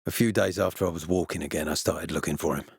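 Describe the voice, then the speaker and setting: Gruff, weary army officer for a video game: low, dry close sound, measured and authoritative. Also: male voice, fairly even intonation, no echo, heavily acted. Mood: weary, regretful. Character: gruff, weary military officer, commanding, later a regretful father. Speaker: game character voice actor.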